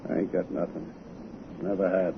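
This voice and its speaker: An actor playing a gruff, cynical American tramp in a 1930s radio drama, blunt and slangy with an American accent. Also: male voice, deep voice, little pitch movement, normal speed, muffled recording. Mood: wistful. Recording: thin, noisy old radio recording.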